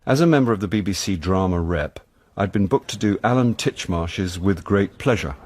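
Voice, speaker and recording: Low-voiced wry middle-aged rogue. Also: male voice, expressive, moderate pace, a little mellow. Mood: dry, narrating. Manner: dry, flippant. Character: wry, philandering middle-aged actor and amateur sleuth. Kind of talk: radio comedy-drama acting with first-person narration.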